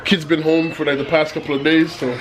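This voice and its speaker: A man's voice: a little high, little pitch movement, a little fast, a little hiss or hum, low-quality recording. A man cheerfully hosting a family vlog, joking and bantering from his home kitchen. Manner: upbeat, joking host banter. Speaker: family channel host. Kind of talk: casual vlog chat.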